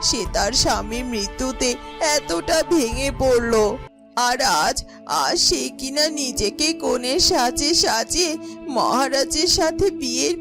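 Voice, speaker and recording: Woman doing a high-voiced worried garden-keeper wife, self-questioning, cartoon, music underneath. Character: troubled, caring palace garden-keeper woman.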